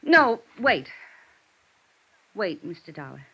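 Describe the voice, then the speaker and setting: An actress playing a guarded, strained widow under suspicion in a vintage radio detective drama. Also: female voice, medium pitch, sing-song, takes it slowly, vocal fry, a bit bright, breathy edge, no echo, exaggerated voice. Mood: pleading. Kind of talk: 1950s radio drama acting. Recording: dull vintage radio recording.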